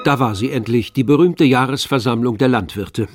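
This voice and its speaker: A man narrating a literary radio drama in a measured, precise storytelling manner. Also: medium pitch, close to an everyday voice. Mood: composed. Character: composed male literary narrator of a novel. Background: faint music with strings.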